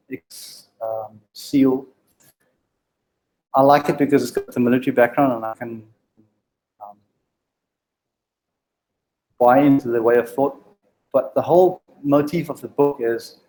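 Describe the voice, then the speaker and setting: A man's voice: medium pitch, a little mellow, rough recording. A man giving a direct leadership talk to a live group, stuttering, with many ums and restarts. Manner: halting, with frequent ums and restarts; direct, exhorting. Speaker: meetup speaker on leadership. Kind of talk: informal presentation to a live group.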